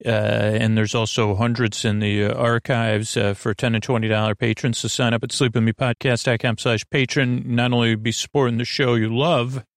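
American guy doing a sleepy-time podcast, real creaky voice, wanders off with lots of ums, super dry recording. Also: mid-range voice, little pitch movement, normal speed, hardly any pauses, no room sound. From United States. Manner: meandering, hesitant, lulling, full of fillers and tangents. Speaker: podcast host. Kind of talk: rambling bedtime podcast monologue.

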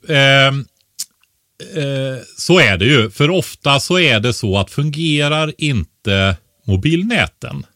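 A man's voice: medium pitch, pushed and bright, no room sound, clean studio sound. A man talking casually and giving explanatory advice as an expert podcast co-host, often tagging a question onto the end of his points.

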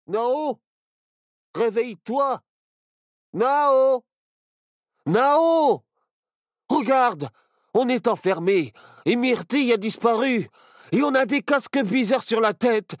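A man voicing an excitable, outspoken young boy in a children's story. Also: extremely high pitch, slow, pauses often, dry recording, studio quality, pitched above the performer's own voice, exaggerated voice. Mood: excited.

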